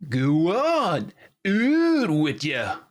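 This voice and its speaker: An actor playing a gruff, impatient male guard in a fantasy audio drama, curt and commanding. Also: on the high side, huge pitch swings, extremely slow, heavily acted. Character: gruff, pushy moustached Malak guard, male, named Barley. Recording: faint background noise.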